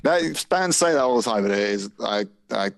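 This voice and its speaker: A man from the UK, a guest musician, talking in a relaxed, modest way and sounding tired. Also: mid-range voice, normal speed, gravelly, no room sound, studio quality. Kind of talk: casual interview chat.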